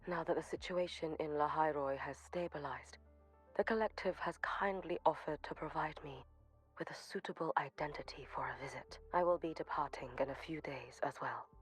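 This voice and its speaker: An actress voicing a solemn, composed young shrine maiden in a video game, with formal, measured, grave diction. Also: female voice, deep voice, lightly acted. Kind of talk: scripted video game voice acting. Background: background music.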